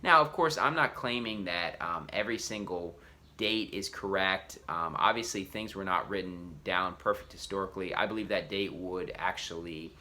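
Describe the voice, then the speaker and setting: A man's voice: low-pitched, moderate pace, bright, forceful tone. A man preacher giving an earnest teaching talk, explaining step by step with frequent restarts and "you know".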